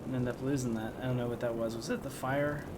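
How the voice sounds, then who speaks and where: A man's voice: mid-range voice, fairly even intonation, rapid-fire, noisy background. A man doing casual, joking, rambling live commentary while playing a video game. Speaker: game streamer. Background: video game music.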